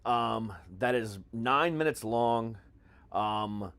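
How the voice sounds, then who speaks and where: A man's voice: mid-range voice, very slow, vocal fry, fairly bright tone, clear, resonant tone, no echo. A middle-aged American man hosting a podcast in casual, profane talk, with a brash, mock-grandiose showman's manner. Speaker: podcast host.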